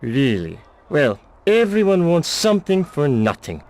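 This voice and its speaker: An actor playing a guarded, furtive bartender with shady dealings in a video game, wary and evasive, with a raspy, creaky voice. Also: male voice, a little high, huge pitch swings, measured pace, a little mellow, full character voice. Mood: wary, dry. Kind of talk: video game cutscene acting.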